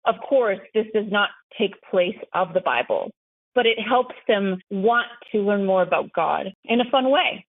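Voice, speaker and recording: A woman's voice: mid-range voice, animated, unhurried, no room sound. A woman interviewed as an author, explaining and telling personal stories conversationally. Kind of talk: conversational storytelling interview answers. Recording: thin phone-like sound.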